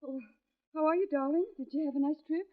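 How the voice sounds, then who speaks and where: An actress playing an anxious, devoted wife in a 1940s radio suspense drama, speaking in a dramatic, deliberate manner. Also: female voice, high voice, close to an everyday voice. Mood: tender, anxious. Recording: vintage radio, phone-like band, music behind.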